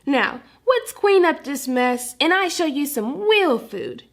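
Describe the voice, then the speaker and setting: A woman playing an earnest, high-pitched little puppy. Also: very animated, slow pace, exaggerated voice. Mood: concerned, kind. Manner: babyish grammar, says dat for that. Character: mischievous, earnest little puppy boy with big imagination. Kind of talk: read-aloud children's storybook character.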